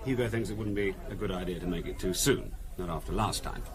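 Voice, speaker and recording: A man playing a sociable, conciliatory English family friend in a 1970s radio drama, smoothing things over and coaxing. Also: low-pitched, fast talker, a crowd in the background, natural voice. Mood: smoothly covering up.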